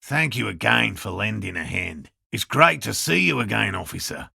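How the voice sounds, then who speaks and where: A man voicing an earnest, eccentric cryptozoologist in a video game, with a raspy voice and precise, courteous, scholarly phrasing. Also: fairly low pitch, expressive, moderate pace, sharp tone, dry recording, clean studio sound, exaggerated voice. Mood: polite, measured. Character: earnest eccentric male cryptozoologist scientist, obsessive but courteous. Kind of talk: video game dialogue voice lines.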